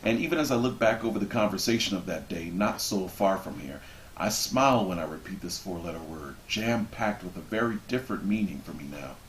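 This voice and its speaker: A man reading a book passage aloud expressively, with measured, deliberate phrasing and dramatic pauses. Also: deep voice, lively intonation. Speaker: reader of a book introduction. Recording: roomy echo with background noise.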